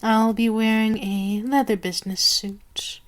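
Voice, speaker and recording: Woman acting a slow, imperious vampire queen. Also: on the high side, steady tone, natural voice. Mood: commanding, indulgent. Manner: slow, imperious, deliberate, condescending. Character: haughty, commanding vampire queen mistress. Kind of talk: ASMR roleplay monologue to the listener.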